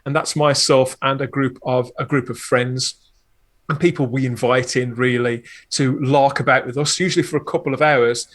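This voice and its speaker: A man's voice: mid-range voice, fairly even intonation, normal speed, fairly bright tone. A man chatting enthusiastically in an interview as a podcast host and producer, explaining things at length. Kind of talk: conversational interview talk.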